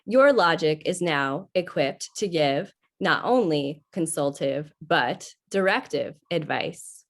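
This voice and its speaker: Casting: woman voicing unfeeling helper computer, lowish pitch, very deliberate pace, retro radio sci-fi. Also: lively intonation, exaggerated voice. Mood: helpful, impassive. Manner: measured, impassive announcer delivery. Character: calm, helpful, unfeeling computer service voice. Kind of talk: 1950s radio sci-fi acting.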